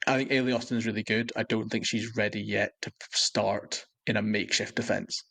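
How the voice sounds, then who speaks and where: A man talks football as a podcast co-host in casual conversation. His delivery stays fairly flat and matter-of-fact, with a creaky, husky edge to the voice as he analyses play. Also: on the low side, fairly quick.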